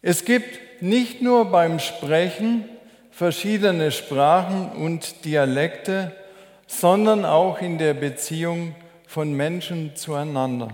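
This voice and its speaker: A man preaching a sermon as a preacher, in a measured, deliberate, explanatory way. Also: on the high side, a little mellow. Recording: echoey hall with long reverb.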